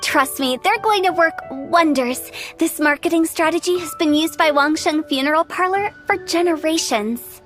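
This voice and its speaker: A very high, girlish game character, a playful young funeral director. She pitches with theatrical, chirpy eagerness. Music plays behind her. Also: normal speed, few pauses, fairly bright tone. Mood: confident, chatty. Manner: chirpy salesy patter, theatrical. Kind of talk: video game character acting. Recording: game audio with background music.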